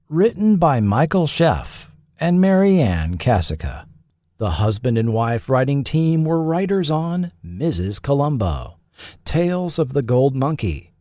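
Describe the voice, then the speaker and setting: A man narrates a scripted documentary with deliberate care. His pitch moves expressively while the pace stays slow, and the recording is close and dry. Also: darkish tone, no room sound. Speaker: podcast host and narrator.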